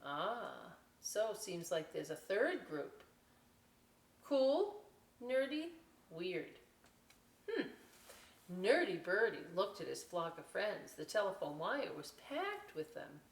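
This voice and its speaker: A woman reading a picture book aloud as a story time host, expressive, with chatty questioning asides to young listeners. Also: medium pitch, slow pace, vocal fry, far from the mic. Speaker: library story time reader. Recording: echoey room, away from the mic.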